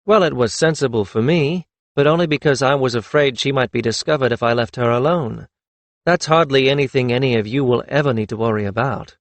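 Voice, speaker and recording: A man's voice: medium pitch, fairly quick, no echo, natural voice. A man reading the lines of a weary young dragon-rider leader with a dry, firm manner, in a slightly raised version of his own voice.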